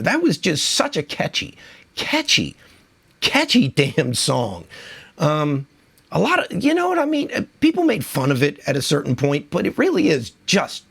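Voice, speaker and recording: A man's voice: on the high side, gravelly, a bit bright, slightly rough. A man who is losing his voice from a cold, hosting a casual music countdown in a chatty, enthusiastic, rambling way. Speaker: music video host.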